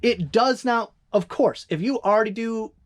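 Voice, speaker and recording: A reseller from Northern Michigan with a Northern Michigan accent, chatting casually on a vlog in a rambling way, correcting themselves with quick asides. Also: mid-range voice. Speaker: eBay reseller vlogger.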